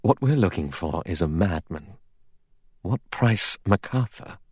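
Man as worried doctor, deep voice, doubtful, hesitant, impatient, educated phrasing, storybook character. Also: animated, fairly quick, no echo, clean studio sound.

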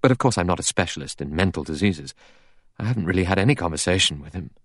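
A male narrator plays a doctor in an audiobook, low in pitch. He is worried and doubtful, with hesitant, impatient, educated phrasing in a dry recording. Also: lively intonation, a little fast, dry recording.

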